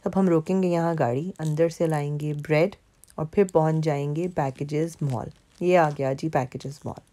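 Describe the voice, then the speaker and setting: A woman's voice: deep voice, fairly even intonation, normal speed, warm tone. A woman from Pakistan narrating her vlog in a casual, chatty way, with frequent fillers. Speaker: vlogger. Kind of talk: casual narration over mall footage.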